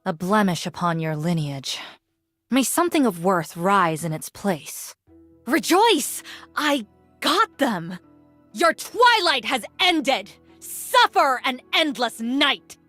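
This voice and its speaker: An actress playing a stern, imperious immortal warrior in a fantasy game, speaking in a formal, lofty, commanding way. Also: female voice, a little high, big pitch swings, takes it slowly, a bit bright, no echo, faint music behind, full character voice. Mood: cold, disdainful, then triumphant. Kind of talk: dramatic game character voice lines.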